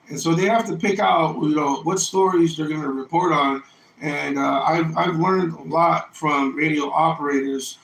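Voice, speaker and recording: A man's voice: on the high side, gravelly, fairly bright tone. Middle-aged male ham operator, casual and anecdotal, recorded in an echoey room with faint noise behind. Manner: anecdotal, explanatory. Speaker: amateur radio operator. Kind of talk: casual ham radio conversation. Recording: roomy echo with faint noise.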